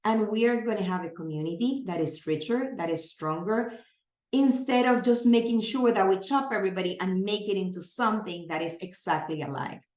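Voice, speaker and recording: A woman's voice: mid-range voice, a little fast, darkish tone. A woman with a Spanish accent, a native Spanish speaker, giving an earnest training presentation on serving families, explaining with everyday examples. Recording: roomy echo.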